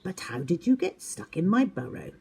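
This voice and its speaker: A woman's voice: lowish voice, big pitch swings, moderate pace, gravelly, a little mellow, slightly rough, full character voice. A woman voicing a kindly, encouraging female woodland animal in a storybook, reassuring and full of advice. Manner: reassuring, advising.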